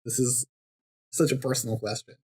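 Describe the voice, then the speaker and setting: A man's voice: mid-range voice, fairly even intonation, a little fast, dark tone, slightly rough. A man guest on a podcast, chatting casually and laughing often. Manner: laid-back, laughs often. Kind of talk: casual chat.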